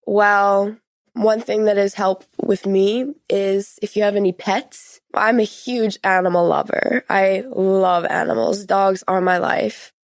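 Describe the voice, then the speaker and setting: A woman's voice: mid-range voice, fairly even intonation, takes it slowly, dry recording. A teenage young woman talking as a podcast guest, in casual, earnest, conversational phrasing. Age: teens. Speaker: podcast guest sharing personal experience. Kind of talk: conversational interview.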